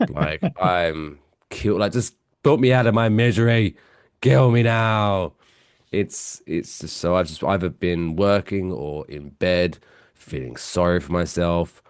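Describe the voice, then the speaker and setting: A man with a British accent, softened by years in America, telling stories as a podcast co-host while stuffy with a cold. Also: sits low, unhurried, clear, resonant tone, dry recording, studio quality. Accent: British English softened by years in the US. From England. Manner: chatty, self-mocking storyteller. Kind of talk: casual podcast chat.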